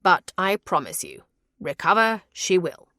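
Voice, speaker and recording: A woman's voice: lowish voice, huge pitch swings, a little slow, sharp tone, no room sound, clean studio sound, exaggerated voice. A woman voicing an earnest, exacting male physician in an audiobook, speaking formally and precisely, with scorn for quackery. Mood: grave, assured. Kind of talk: audiobook character voice.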